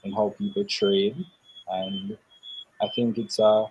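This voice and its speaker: A young man, answering interview questions in a thoughtful, measured way with pauses. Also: fairly low pitch, flat delivery, darkish tone, highs cut off, slight background noise. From Jamaica. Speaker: interview guest.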